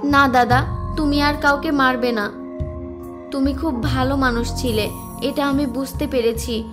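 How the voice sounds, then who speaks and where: A woman's voice: sits high, steady tone, unhurried, music in the background. A woman voicing an earnest, compassionate young married villager in a cartoon, pleading and persuasive. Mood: pleading, reasoning. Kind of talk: cartoon voice acting.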